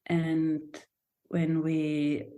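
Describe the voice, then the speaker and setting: A woman's voice: deep voice, monotone, drawn-out words, mellow. A German woman speaking English as a second language, reflective and hesitant, often restarting as she searches for words. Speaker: guest, dance and movement teacher. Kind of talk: reflective podcast conversation.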